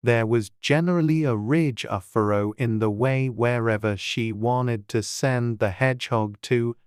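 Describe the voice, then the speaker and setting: A narrator reading a children's classic as a scripted audiobook, with a smooth, clear voice. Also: mid-range voice, a little slow, darkish tone, no echo, clean studio sound.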